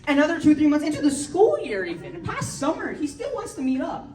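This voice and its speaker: Teen girl, amateur stand-up, slightly high, giggly and rambling; distant mic in a roomy hall. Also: sing-song, brisk, mellow. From United States. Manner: chatty, giggly, rambling with many likes and ums. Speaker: stand-up comedian. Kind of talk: amateur stand-up comedy set. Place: large hall with audience. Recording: echoey hall, speaker far from mic, crowd behind.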